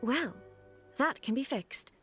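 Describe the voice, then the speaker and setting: Calm regal immortal lady ruler for a game, high voice, lilting delivery, soft music behind. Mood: composed, matter-of-fact. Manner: measured, formal, lightly musing. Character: calm, dignified female immortal ruler, curious and formal. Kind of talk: video game voice acting.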